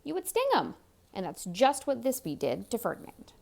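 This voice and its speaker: A woman reads a picture book to young children in a highish voice with a slightly creaky edge. Her delivery swings widely and expressively, and she chats to the listener between passages. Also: moderate pace. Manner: expressive, chatty, asks the listener questions. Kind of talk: animated read-aloud storytelling for young children, with asides to the listener.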